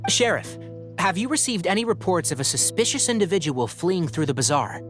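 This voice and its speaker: A man's voice: high-pitched, very animated, a little fast. A man playing a thoughtful young male scholar and ranger in a game, speaking in a reasoned, composed, explanatory way. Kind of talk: game cutscene voice acting. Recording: game audio with background music.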